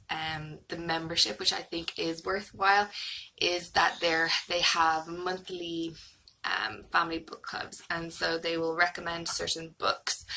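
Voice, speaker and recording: A woman's voice: fairly low pitch, pushed and bright, a little hiss or hum, poor audio. An Irish woman in her thirties giving a casual, hesitant, rambling review, like a homeschooling mom vlogging.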